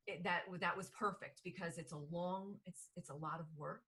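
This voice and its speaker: Podcast host, woman, New Jersey accent, lower pitch, loose rambling delivery. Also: fairly even intonation, normal speed, pushed and bright. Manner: rambling, many restarts and fillers. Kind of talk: casual interview chat.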